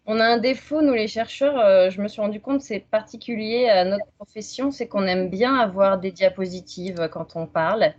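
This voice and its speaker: A woman in her thirties from Franche-Comté in France, presenting science informally and haltingly on a video call. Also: mid-range voice, darkish tone. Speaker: researcher, doctoral student presenting. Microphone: video call microphone. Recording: muffled video-call audio.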